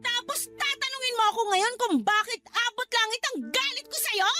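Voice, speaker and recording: A woman's voice: very high voice, moderate pace, sharp tone, faint music behind. An actress playing a bitter young woman who protects her brother, accusing and emotional in a radio drama. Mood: hurt, reproachful. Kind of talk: Tagalog radio drama acting.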